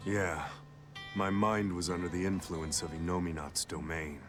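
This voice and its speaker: Man acting a brash, low-voiced roguish fighter. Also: steady tone, normal speed, close to an everyday voice. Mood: reflective, gritty. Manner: cocky, rough slangy talk. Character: brash, hot-blooded roguish male fighter with his own creed. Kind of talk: English video game dub acting. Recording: music under the voice.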